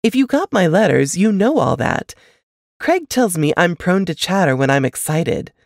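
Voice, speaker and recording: A woman voicing an anxious, warm and chatty elder sister in an audiobook, effusive and full of fussing concern. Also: lowish voice, big pitch swings, moderate pace, creaky, no room sound, clean studio sound. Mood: chatty, flustered. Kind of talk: audiobook character dialogue.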